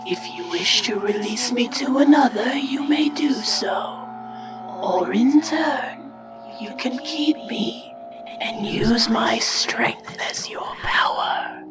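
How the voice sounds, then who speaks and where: A woman voicing an ancient, calm, otherworldly bog entity in a measured, breathy whisper for a fantasy story. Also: on the high side, far from the mic, music in the background. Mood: detached, cryptic. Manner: measured, detached, cryptic. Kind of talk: audiobook character acting.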